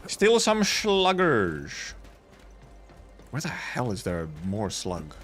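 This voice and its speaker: Male game streamer, low voice, casual rambling commentary muttered to himself, music clearly behind. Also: wildly swooping pitch, takes it slowly, fairly bright tone. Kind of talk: casual live gameplay commentary.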